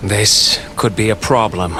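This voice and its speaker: A man's voice: on the low side, unhurried, natural voice. Man playing a sarcastic, cocky mercenary game hero. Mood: concerned, dry. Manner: sarcastic, flippant. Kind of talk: video game cutscene acting. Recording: music behind the voice.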